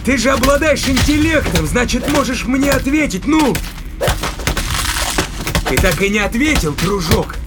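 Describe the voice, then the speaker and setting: An actor playing a ruthless, mocking spaceship captain in a science-fiction audio play, taunting and commanding. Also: male voice, extremely high pitch, big pitch swings, measured pace, pauses often, very noisy, exaggerated voice. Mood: sadistic taunting.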